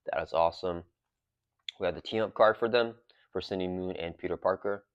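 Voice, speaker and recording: Man, very deep voice, sore throat, cough drop in mouth, casual rambling host, dry dull recording. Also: fairly quick, no room sound. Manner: relaxed, rambling, many fillers. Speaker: channel host. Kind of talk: casual unboxing and card game talk.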